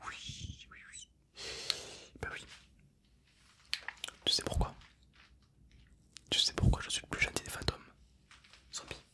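A man's voice: slow pace, pauses often, close to an everyday voice. Casting: man playing a kindly ghost zombie for ASMR roleplay, whispering, soothing and repetitive, playful about eating brains. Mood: gentle, playful. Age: 20s. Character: friendly calm brain-loving ghost zombie.

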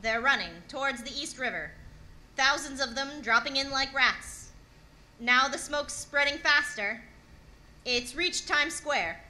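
A woman's voice: high-pitched, a little slow, bright, forceful tone, heavily acted, poor audio. A woman playing a grave, urgent radio announcer reporting an invasion in clipped, dramatic 1930s radio drama style. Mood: despairing horror.

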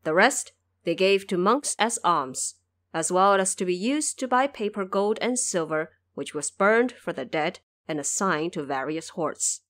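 A woman narrating a classic adventure tale in a measured, scripted storytelling manner. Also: medium pitch. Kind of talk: scripted audio drama narration.

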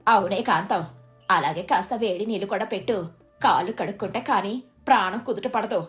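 A woman's voice: medium pitch, brisk, faint music behind, natural voice. A woman voicing a pampered, lazy visiting sister-in-law who whines and makes demands in a cartoon story. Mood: demanding.

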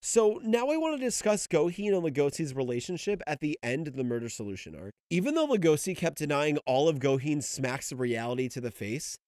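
A young man giving expressive, enthusiastic scripted commentary as the host of a video essay. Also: on the high side, fairly quick, few pauses, dry recording, clean studio sound. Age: young adult. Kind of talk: scripted manga character commentary.

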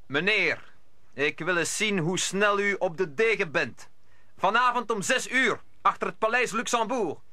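A man's voice: high-pitched, expressive, measured pace, pushed and bright, dry recording, exaggerated voice. An actor playing a bold, hot-headed young gallant swordsman in an old Dutch radio play. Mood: challenging.

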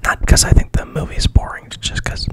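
A man whispering an ASMR retelling of a film plot into a mic, rambling and deadpan, with constant swearing. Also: a little fast. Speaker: ASMR host. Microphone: studio mic.

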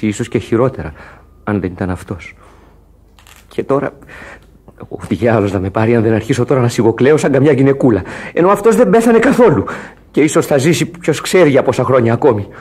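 An actor playing a rough, loyal sailor in an old radio drama, gruff and given to swearing, swinging between hushed grief and anger. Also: male voice, mid-range voice, lightly acted. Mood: grieving. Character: loyal rough sailor mourning a dying shipmate. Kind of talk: vintage radio drama acting.

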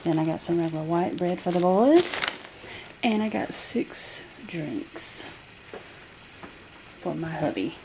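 Woman, slow, low-pitched, casual haul vlog, kitchen table, noisy camera mic. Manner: plain, item-by-item listing with many ums. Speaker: vlogger showing a grocery haul. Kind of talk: casual haul video narration. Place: home kitchen table, indoors. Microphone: handheld camera filming a tabletop. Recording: home camera audio with clear noise.

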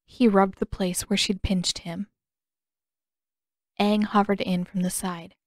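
A woman's voice: medium pitch, little pitch movement, slow, dry recording, studio quality. A woman reading a fan fiction story aloud as scripted audiobook narration. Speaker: podfic narrator and author.

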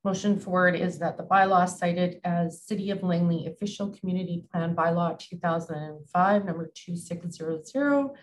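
Canadian lady mayor running a council call, lowish voice, faint hum behind, reads motions off quick. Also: rough recording. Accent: Canadian English. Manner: brisk, procedural, reads bylaw motions. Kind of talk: formal meeting procedure. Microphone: video call.

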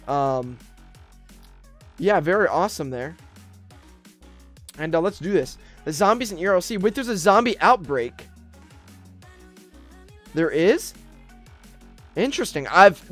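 A young American man giving excitable roleplay gameplay commentary, often repeating phrases urgently, at a desk microphone indoors. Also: high voice. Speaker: gaming video host. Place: indoor gaming room.

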